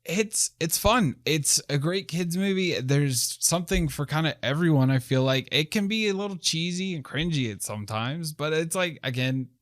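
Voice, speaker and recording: A man's voice: a little high, expressive, moderate pace, few pauses, fairly bright tone, dry recording, studio quality. A young man hosting a casual film review chat in a laid-back, slangy, rambling way. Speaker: film review show host.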